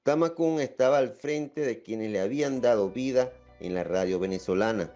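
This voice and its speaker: A man narrates a documentary in a steady, even tone, his voice mellow and dark. The recording sounds a little dull, as if the top end were cut off, and soft music runs underneath. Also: mid-range voice, normal speed.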